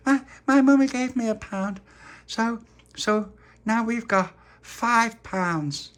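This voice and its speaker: Adult storyteller doing a tiny sweet girl, super high, eager, keeps repeating words. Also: takes it slowly, close to an everyday voice. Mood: excited. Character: little girl Charlotte, eager, sweet and stammering.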